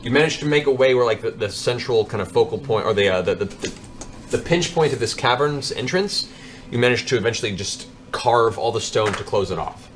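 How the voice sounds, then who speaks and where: A man's voice: mid-range voice, fairly quick. A man narrating a tabletop game as game master, improvising descriptions.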